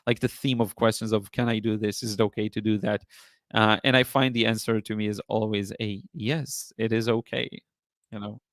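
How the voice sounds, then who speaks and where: A man hosting a casual live stream as an art teacher, chatting in a rambling, appreciative way, his voice slightly hoarse from talking. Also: on the low side, dry recording. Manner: rambling, appreciative, often thanking viewers. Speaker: live stream host, watercolor painting teacher.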